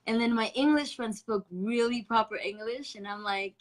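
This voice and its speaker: A woman's voice: on the high side, normal speed. Effusive Spanish-accented woman host. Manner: effusive, affectionate, spiritual wording. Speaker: interview host. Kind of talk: casual online interview.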